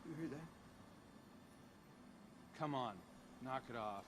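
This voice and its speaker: Man as sceptical adventurer, mid pitch, slow, terse, irritable, game dialogue, noisy ambience. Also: natural voice. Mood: sceptical, annoyed. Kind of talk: video game voice acting.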